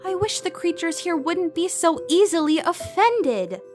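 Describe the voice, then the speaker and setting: A woman's voice: very high voice, animated, a little slow, soft background music. A woman playing a polite, bewildered little girl in an audio drama, earnest, plaintive and easily flustered.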